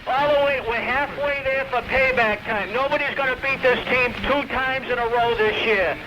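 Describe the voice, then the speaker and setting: A man's voice: very high voice, steady tone, moderate pace. A man calling a sports radio show as an exuberant fan, punching out cheering slogans over the telephone. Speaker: phone-in caller. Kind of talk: excited sports fan call. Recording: muffled noisy phone line, old radio.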